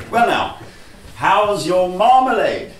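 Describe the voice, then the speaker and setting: An older man performs a staged reading at a slow, measured pace, with a widely swinging pitch. He is recorded from some distance in a roomy space. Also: slight background noise. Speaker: actor giving a rehearsed reading. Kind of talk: theatrical scripted reading. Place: small room with live audience.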